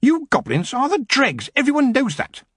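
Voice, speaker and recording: Haughty, ageless elf queen, high and imperious, read by a man, husky edge. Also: huge pitch swings, moderate pace, a bit bright, no echo, clean studio sound, heavily acted. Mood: scornful. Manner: imperious, scornful.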